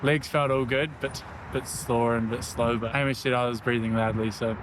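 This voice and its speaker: A man's voice: mid-range voice, steady tone, brisk, noisy background. A man, out of breath while talking to camera outdoors on a run, rambling casually with lots of filler words. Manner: rambling, self-deprecating, lots of "like". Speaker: running vlogger. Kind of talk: casual vlog talk while running. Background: loud music.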